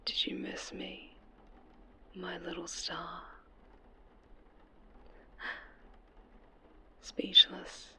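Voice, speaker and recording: A woman playing an obsessive, menacing supervillain stalker in a yandere ASMR roleplay, breathy and often whispering, with a syrupy, taunting delivery. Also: lowish voice, monotone, slow, sharp tone, full character voice.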